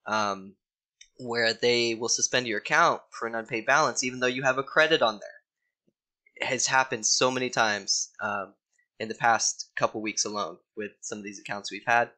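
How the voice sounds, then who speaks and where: A man's voice: medium pitch, bright, forceful tone, low-quality recording. A young man casually walking through an ads account on a screen recording, with lots of ums and a mildly exasperated tone.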